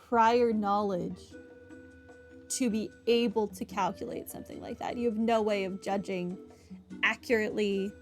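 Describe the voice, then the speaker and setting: A blunt, sarcastic woman giving casual commentary on a game playthrough. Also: fairly high pitch. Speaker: gaming streamer.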